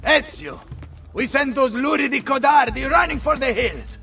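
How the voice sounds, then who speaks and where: An actor playing a boisterous, hot-headed Italian mercenary commander in a video game, with a gravelly voice, an Italian accent and loud, blustering delivery. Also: male voice, very high-pitched, lively intonation, moderate pace, heavily acted. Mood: triumphant. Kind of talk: video game cutscene acting.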